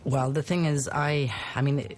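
A woman's voice: rumbling low, fairly even intonation, measured pace, a little mellow. A middle-aged woman life coach talking on a radio show, thoughtful and reassuring, often restarting her sentences. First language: English. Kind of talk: radio talk show conversation.

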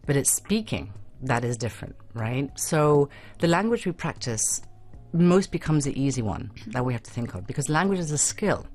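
A woman's voice: extremely low pitch, big pitch swings, moderate pace, a little mellow. A woman speaking as a guest on a talk show, explaining patiently with frequent tag questions and restarts. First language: not Arabic. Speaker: guest expert on children's language learning. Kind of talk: radio talk show discussion.

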